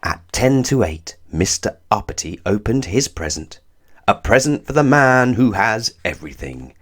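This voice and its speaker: A man reading a children's story aloud in an expressive, deliberate way, drawing out words for emphasis. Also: lowish voice. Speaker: storybook reader. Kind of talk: scripted children's story narration read aloud.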